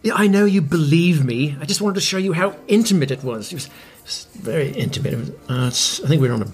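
A man's voice: on the high side, animated, fast. An actor in a comedy podcast playing a washed-up middle-aged rock star, boastful and needy, stammering as he rambles matily. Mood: defensive, boastful. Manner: stammering, rambling, faux-matey.

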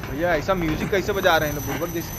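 A man with a Bihari accent giving excited, colloquial gameplay commentary as a live-streamer, repeating himself and exclaiming. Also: on the high side, racing. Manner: colloquial, repetitive, exclaiming, mock-abusive. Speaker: live-streamer playing a horror game. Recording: noisy stream with game sounds behind.

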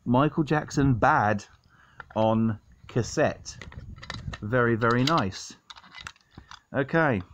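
A man's voice: medium pitch, takes it slowly, pauses often, slight background noise. A man giving a chatty, enthusiastic show-and-tell in a casual home vlog style. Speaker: video host showing a cassette collection. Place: indoors at home by a sofa. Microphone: handheld camera or phone mic. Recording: dull lo-fi camera audio.

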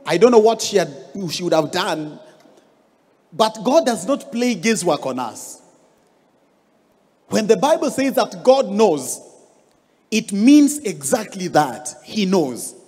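Kenyan male pastor, 30s, fairly high voice, storytelling sermon, hall echo, handheld mic. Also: very animated, slow pace, long pauses, darkish tone, breathy edge. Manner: storytelling, rising emphasis on key words. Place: large church hall stage. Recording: long hall reverb.

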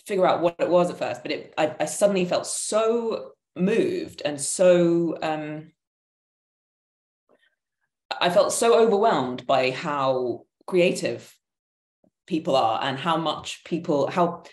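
A woman's voice: sits low, lively intonation, slow, darkish tone. A woman in her thirties thinking aloud in an emphatic, searching way as a panelist on a video call. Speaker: panelist, theatre maker. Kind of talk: reflective conversational explanation. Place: indoor room at home, video call. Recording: roomy, echoing video-call sound.

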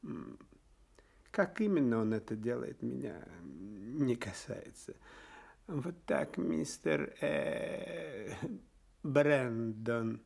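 An actor playing a menacing, condescending businessman in a radio play, speaking with deliberate hesitant hums. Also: mid-range voice, very animated, creaky, a little mellow, slightly rough, heavily acted. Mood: haughty, threatening. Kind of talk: Soviet-style radio play acting.

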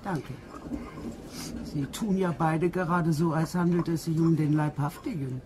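A woman's voice: sits low, fairly even intonation, unhurried, background noise. A woman playing a haughty, easily appalled nurse in a German mystery radio play, speaking in a blunt, indignant manner. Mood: skeptical.

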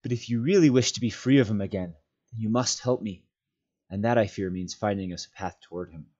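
A man's voice: fairly low pitch, dark tone, muffled recording, natural voice. A man voicing an earnest hobbit master in a storybook reading, stern yet merciful, speaking firmly and solemnly. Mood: insistent. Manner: firm, solemn, measured.

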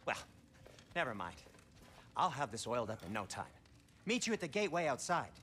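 A man's voice: mid-range voice, big pitch swings, brisk, noisy background, close to an everyday voice. Man as video game dwarf tinkerer, fretful, chatty, swooping intonation, faint ambience behind. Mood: eager. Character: fussy, fretful, eager male dwarf craftsman.

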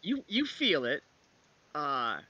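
A man runs a tabletop game in a fairly high, very animated voice. He describes scenes in a halting, conversational way. The sound is slightly dull, band-limited video call audio. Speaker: game master. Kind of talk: improvised narration of an online tabletop game.

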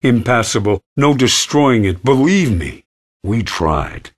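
An actor playing a corrupted male ship AI with a raspy, processed voice, torn between frightened pleading and a menacing hive voice in a video game. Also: mid-range voice, very animated, takes it slowly. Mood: bitter.

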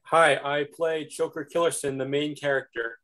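A young man, an amateur actor, answering haltingly and briefly on a video call. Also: on the high side, fairly even intonation, a bit bright. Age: young adult. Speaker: amateur actor being interviewed. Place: indoor room on a video call. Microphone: computer video call.

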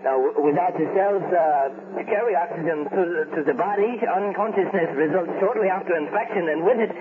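An actor playing an earnest American clinic doctor, explaining technical findings with frustration in a 1970s radio drama interview. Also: male voice, sits high, brisk, natural voice. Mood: sober, frustrated. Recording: tinny, noisy 1970s radio recording.

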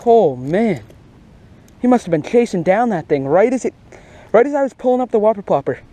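A young man giving casual, slangy and excitable fishing commentary outdoors, recorded on a body-worn action camera. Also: high voice, brisk. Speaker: fishing vlogger. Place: outdoors beside a grassy pond.

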